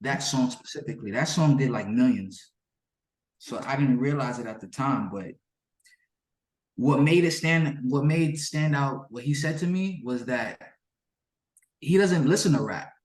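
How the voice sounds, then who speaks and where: A native Yoruba-speaking man with a medium-pitched voice answers questions as a musician. He is casual and slangy, often doubling back on his words. The room around his voice is echoey. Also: animated, a little slow, a little mellow. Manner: informal, slangy, repeats himself. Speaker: musician being interviewed. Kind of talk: casual interview chat.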